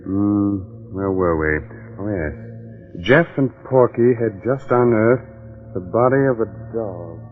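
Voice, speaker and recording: A man announcing and hosting in old-time radio style, chatty and reassuring with a folksy sales pitch. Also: animated, slow pace. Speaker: announcer and host. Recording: narrow tinny old radio recording with music.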